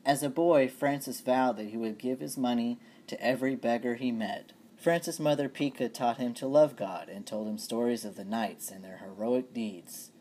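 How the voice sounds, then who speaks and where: A storyteller reading a children's story aloud for all ages, playful and expressive, with asides to the listeners. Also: mid-range voice, slight background noise.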